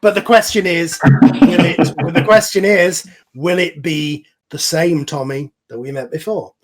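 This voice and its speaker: A man with a slightly high voice talks casually in an online group chat. He explains things in an animated way, often stopping and restarting a thought. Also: a little slow. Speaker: series creator in a cast discussion. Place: voice chat call over the internet.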